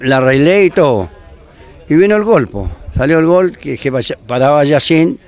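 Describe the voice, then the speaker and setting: An older man with a Chilean accent, a former footballer recalling a match in halting, repeated phrases. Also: fairly high pitch, lively intonation, darkish tone. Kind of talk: archive interview recollection.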